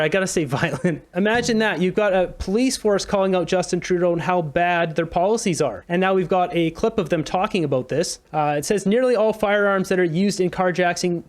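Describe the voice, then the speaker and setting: A man with a voice on the higher side hosts an informal news commentary show. He reads articles out and reacts in an indignant, conversational way. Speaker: host of a political commentary show. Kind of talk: casual news commentary, reading articles aloud.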